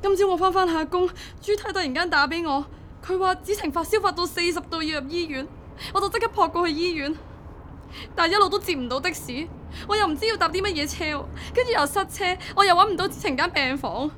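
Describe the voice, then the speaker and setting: A woman's voice: fairly high pitch, expressive, moderate pace, slight background noise, lightly acted. A woman acting a burdened, devoted young single mother in a radio drama, emotive and confiding, with a Hong Kong Cantonese accent. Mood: distraught.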